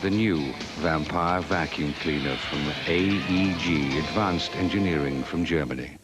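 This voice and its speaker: A man's voice: a bass voice, unhurried. A man reading a scripted product advert over guitar music. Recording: loud guitar music behind voice.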